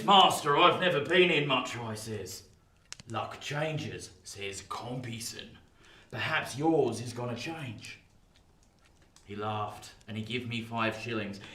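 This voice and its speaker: An actor playing a rough old ex-convict in a radio play, with a working-class English accent, telling his past in an emphatic, colloquial way. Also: medium pitch, bright, forceful tone. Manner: colloquial, emphatic storytelling that swings into indignation. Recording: roomy, distant from the mic.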